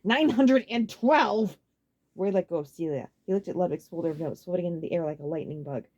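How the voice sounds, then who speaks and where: A woman reading a story aloud as an audiobook narrator, in an expressive, dramatic style. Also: deep voice, quick pace, lo-fi sound.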